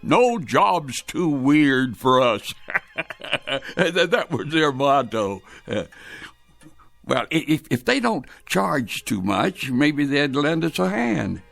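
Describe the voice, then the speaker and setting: A man's voice: on the high side, big pitch swings, slow pace, vocal fry, fairly bright tone, soft background music, heavily acted. A man playing a frail, anxious old grandfather in a radio drama, hesitant and stammering in a fussy way. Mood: pleased, scheming. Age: older. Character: frail, anxious elderly grandfather obsessed with his clock.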